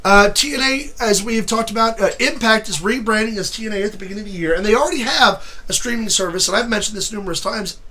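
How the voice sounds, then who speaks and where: A man with a high, bright voice chats casually as a wrestling show host. He is losing his voice, and he breaks into shouted, swearing rants. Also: fast, hardly any pauses, slight background noise. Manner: ranting, swearing, shouting in bursts. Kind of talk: casual chat.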